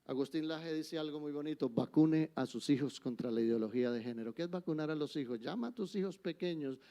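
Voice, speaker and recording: Older Colombian preacher, fairly high voice. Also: male voice, fast. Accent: Colombian Spanish. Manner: emphatic, swinging between forceful exhortation and soft, intimate asides. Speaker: guest preacher. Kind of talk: sermon. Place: church.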